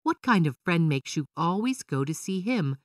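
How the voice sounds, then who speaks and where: A woman voicing an excitable, emphatic female leopard, a complaining wife in a children's storybook. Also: on the low side, moderate pace, no echo, studio quality, far from the mic. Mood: indignant.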